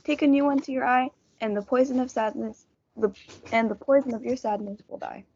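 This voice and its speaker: A woman's voice: a little high, fairly quick, a little mellow. A female student performer playing a friendly, practical young man who keeps the peace in a reader's theatre play. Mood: coaxing. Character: young male Montague, friendly peacemaking cousin, coaxing and practical. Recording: dull, muffled lo-fi audio.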